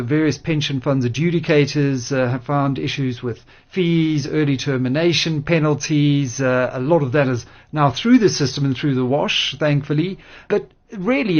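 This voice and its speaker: A man hosting a radio interview, speaking in measured, formal phrases with hesitant pauses. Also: fairly high pitch, a little mellow, muffled recording. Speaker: radio show host interviewing a guest.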